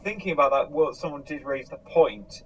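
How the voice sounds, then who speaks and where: A man in a casual discussion, explaining things haltingly and rephrasing as he goes. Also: on the high side, a crowd in the background. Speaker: podcast host. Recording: noticeable background noise.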